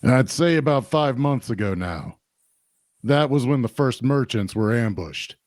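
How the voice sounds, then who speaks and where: A man's voice: medium pitch, lively intonation, measured pace, a little mellow, no room sound, studio quality, lightly acted. Folksy small-town barkeep played by a man. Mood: grave, confiding. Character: plain-spoken, obliging male small-town barkeep. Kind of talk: audio drama table-read dialogue.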